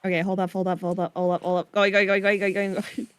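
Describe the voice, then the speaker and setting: A woman's voice: mid-range voice, flat delivery, quick pace, smooth, no echo. A woman chatting casually as a story's creator troubleshooting it live, informal, swearing and repeating her phrases. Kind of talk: casual chat.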